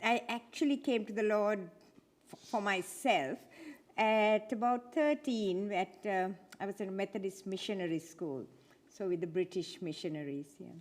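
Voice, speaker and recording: A woman's voice: mid-range voice, normal speed, darkish tone. A woman in her seventies from Sri Lanka, giving a chatty church talk with self-deprecating humour. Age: 70s. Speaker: guest speaker. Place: church hall.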